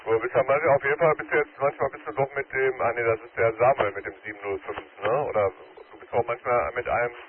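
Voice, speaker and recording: A man's voice: mid-range voice, fairly even intonation, quick pace, hardly any pauses, crowd noise. A man chatting casually over amateur radio from his car while driving, friendly and with joking sign-offs. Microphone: mobile radio transceiver. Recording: narrow radio band with static and road noise.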